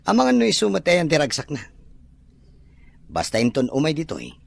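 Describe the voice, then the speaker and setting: A man's voice: fairly high pitch, wildly swooping pitch, a little fast, vocal fry, heavily acted. A man playing a kind, caring rich farm owner in an Ilocano audio drama, with faint birdsong behind him. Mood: easygoing.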